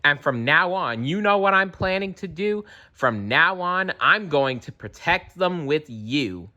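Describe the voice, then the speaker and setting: A man's voice: a little high, lively intonation, unhurried, bright, forceful tone, lo-fi sound, voice pushed up, heavily acted. A man voicing a bossy female kangaroo in a smug, emphatic storybook voice. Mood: won over.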